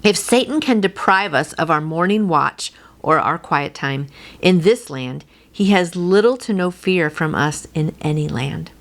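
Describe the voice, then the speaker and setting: A middle-aged woman hosting a devotional, reading and then encouraging the listener in an earnest, personal, informal way. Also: lowish voice. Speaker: devotional host and reader.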